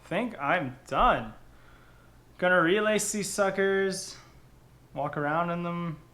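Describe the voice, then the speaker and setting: A man's voice: high-pitched, takes it slowly. A young man hosting a casual how-to vlog from his kitchen table, chatty and repeating his words as he goes. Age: young adult. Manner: chatty, repeats words and phrases, lively delivery. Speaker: channel host. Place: kitchen table indoors, home. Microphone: camera across the table.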